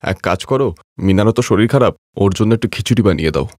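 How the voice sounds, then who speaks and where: A man's voice: lowish voice, lively intonation, rapid-fire, noisy background, natural voice. A man voicing a practical, caring husband in a cartoon family story, reasoning in a matter-of-fact way. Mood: firm, pleading. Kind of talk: Bengali moral-story cartoon voice acting.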